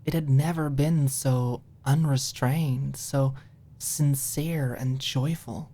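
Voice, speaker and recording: A woman with a low voice reads a scripted story as an audiobook narrator. The delivery is in the style of an ASMR audio narration. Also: steady tone, takes it slowly, mellow.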